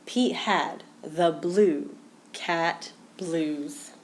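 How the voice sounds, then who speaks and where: A woman's voice: lowish voice, drawn-out words. A woman narrating a children's picture book aloud. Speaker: storybook reader.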